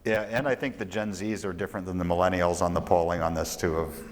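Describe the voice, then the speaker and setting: A man's voice: low voice, steady tone, fast talker. A man answering audience questions at a talk, explaining economic terms in a lecturing way. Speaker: economist speaker. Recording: reverberant lecture hall.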